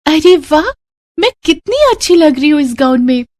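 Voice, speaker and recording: A woman's voice: sits high, lively intonation, moderate pace, a little mellow, no room sound, studio quality, voice pushed up. A woman voicing a plucky, innocent little girl with a bold, curious, childlike manner in a children's story. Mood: excited wonder.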